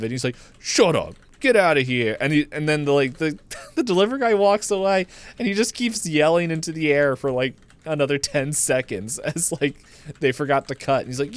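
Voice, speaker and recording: A man co-hosting a podcast, giving a casual, rambling, comedic movie recap and acting out the characters' lines in his own words. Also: on the high side. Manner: laughs through the telling.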